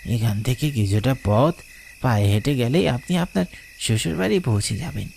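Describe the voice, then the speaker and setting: A man voicing the protective ghost of a village cart driver in a horror cartoon, gruff but kind, speaking in a plain, commanding way. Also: medium pitch, lively intonation, normal speed, darkish tone, slight background noise. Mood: calm, reassuring.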